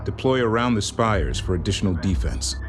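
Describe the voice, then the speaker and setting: An actor playing a calm, authoritative fleet intelligence officer in a sci-fi military game, speaking in clipped, formal briefings. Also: male voice, on the low side, brisk, noisy background, natural voice. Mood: commanding, urgent. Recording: game audio under loud music. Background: loud music.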